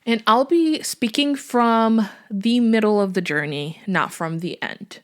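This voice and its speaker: A woman's voice: fairly high pitch, unhurried. A young woman whose first language is Portuguese, hosting a faith-based podcast in an earnest, chatty way. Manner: earnest, chatty, with fillers and small laughs. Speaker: podcast host.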